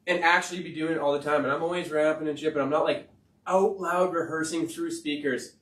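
A man with a high-pitched voice, talking in a rambling, profane and emphatic way on a casual podcast. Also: steady tone, fairly quick, a bit bright. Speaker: podcast host and rapper. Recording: roomy sound from far off the mic.

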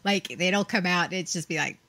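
Bright-voiced giggly woman co-host. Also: on the low side, brisk. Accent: neutral American, trained out of Southern. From American South. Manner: giggly, flirty, teasing. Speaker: podcast co-host. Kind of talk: casual podcast chat.

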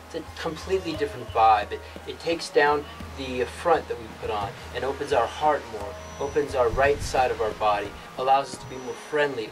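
A young man giving a meandering spiritual yoga talk in an outdoor rock cave, halting often and restarting his sentences. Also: mid-range voice. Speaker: yoga teacher. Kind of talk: rambling spiritual teaching monologue. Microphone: far camera. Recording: distant mic with music behind. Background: instrumental music.

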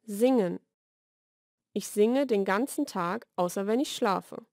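A woman narrates a scripted vocabulary lesson. She enunciates deliberately and in a measured way, moving between single words and short example sentences. The sound is dry and close, as in a studio voice-over. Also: mid-range voice, darkish tone, no room sound.

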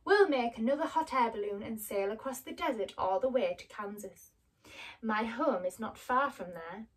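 A woman's voice: on the high side, lively intonation, normal speed, breathy edge, far from the mic. A woman reading a kindly old wizard. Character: kindly old male wizard, gentle and grand.

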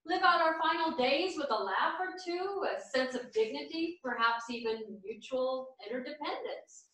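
A woman's voice: high voice, lively intonation, normal speed, fairly bright tone. An actress playing an earnest, hopeful, sincere older woman in a theatrical staged reading. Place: small theatre stage, far camera. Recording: echoey theatre, distant microphone.

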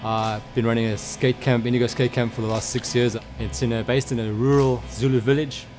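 A man from Durban, giving a short interview statement about running a skate camp, with a quick, clipped delivery. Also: medium pitch, flat delivery. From South Africa. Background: background music.

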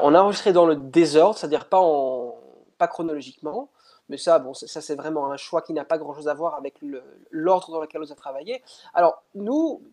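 A man's voice: on the high side, a little mellow. A young man, not a native speaker, talking through a headset on a video call, thoughtful and hesitant with many restarts. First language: not French. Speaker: pianist interviewed. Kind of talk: casual interview over video call. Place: indoor room at home.

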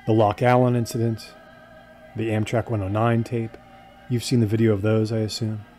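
An actor plays a serious man obsessed with something supernatural. His voice is lowish and mellow, his delivery hesitant and understated. Faint music runs behind this audio drama. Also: level tone, normal speed, natural voice. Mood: haunted, serious.

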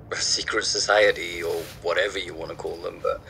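A man's voice: sits low, little pitch movement, normal speed, vocal fry, sharp tone, slight background noise, full character voice. An actor playing a casual, sceptical man in conversation with his colleague in an audio drama.

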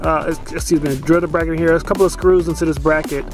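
Casual DIY guy, fairly high voice, filler-heavy self-corrections, phone-recorded in a truck, music in the background. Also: fairly even intonation, rapid-fire. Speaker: DIY vlogger installing a CB radio. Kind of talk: casual how-to narration while working. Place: inside a pickup truck cab and garage.

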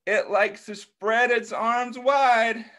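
A man's voice: very high-pitched, fairly even intonation, takes it slowly, pushed and bright. A middle-aged American man reading a picture book aloud to young children, encouraging them with questions and praise over a video call. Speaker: children's story time host.

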